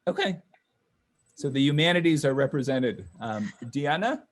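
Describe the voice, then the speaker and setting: A man's voice: fairly high pitch, moderate pace. Man hosting a video call. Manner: relaxed, friendly, lots of fillers. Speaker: host of online class session. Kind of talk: casual introductions on a video call.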